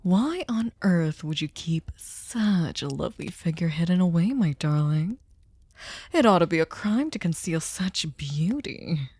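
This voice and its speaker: A woman voicing a sadistic, possessive vampire hostess in an ASMR roleplay, silky, courtly and teasing, often slipping into breathy whispers. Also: fairly low pitch, lively intonation, a little slow, darkish tone, no room sound. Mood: seductive, admiring. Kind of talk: scripted ASMR roleplay, intimate dramatic monologue.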